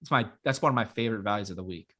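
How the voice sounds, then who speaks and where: A young man co-hosting a casual fantasy football show, his voice raspy from being sick, talking in a relaxed, rambling way with many fillers. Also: fairly low pitch, rapid-fire. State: raspy after illness. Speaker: podcast co-host. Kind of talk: casual sports chat.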